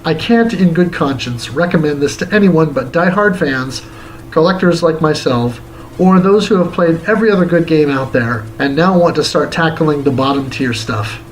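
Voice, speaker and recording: A man's voice: fairly high pitch, normal speed, a little hiss or hum, low-quality recording. A man reading a scripted video game review as its narrator.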